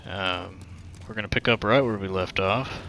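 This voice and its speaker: A man's voice: low-pitched, normal speed, bright, forceful tone, slight background noise. A man with a somewhat nasal voice giving laid-back, joking commentary on a game walkthrough through a headset mic that pops and cracks. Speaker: gaming channel host.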